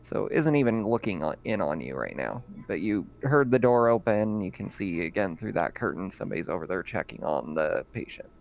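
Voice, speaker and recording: A man narrating as game master in a casual online tabletop session, relaxed and quick to laugh, with lots of ums and uhs. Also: low-pitched, gravelly. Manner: relaxed, laughs often, many fillers.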